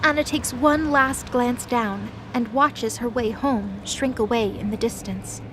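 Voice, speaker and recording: High-voiced woman narrating an audio drama. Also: measured pace, a bit bright, a little hiss or hum, rough recording.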